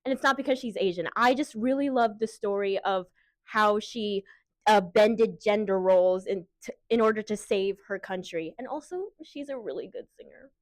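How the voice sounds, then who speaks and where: A woman's voice: mid-range voice, moderate pace, no echo. An eighteen-year-old woman giving bubbly, chatty interview answers. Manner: bubbly and chatty, laughs easily. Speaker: contestant.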